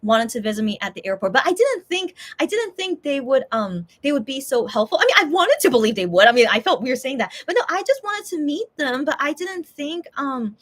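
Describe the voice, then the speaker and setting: A woman's voice: high-pitched, big pitch swings, fairly quick, few pauses. A woman chatting casually with her viewers, rambling through her thoughts with many fillers and restarts. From USA. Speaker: YouTuber, channel host.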